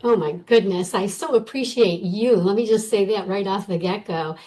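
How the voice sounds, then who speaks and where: A woman's voice: medium pitch, normal speed, mellow. A woman guest on a video call who tells her story in a bubbly, appreciative way. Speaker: summit guest speaker.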